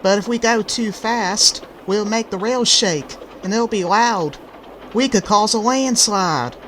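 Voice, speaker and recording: A man's voice: high-pitched, slow pace, fairly bright tone, slight background noise. A man voicing an anxious, cautious young male railway brake van in a fan-dubbed cartoon. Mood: worried. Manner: worried, cautioning. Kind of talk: fan-dub cartoon character acting. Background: background music.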